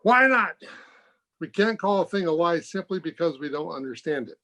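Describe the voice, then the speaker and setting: A man playing an authoritative, thoughtful hospital doctor in an old-time radio mystery, with measured, declamatory delivery. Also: fairly high pitch, expressive, bright, forceful tone. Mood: firm, defiant. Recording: home video-call recording.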